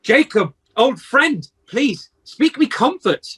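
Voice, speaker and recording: A man playing a crabby old miser in a radio play, with a husky voice and a crotchety, easily rattled manner. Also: extremely high pitch, sing-song, takes it slowly, fairly bright tone, exaggerated voice. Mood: frightened, pleading. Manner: crotchety, stammering when frightened. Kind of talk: amateur radio play acting.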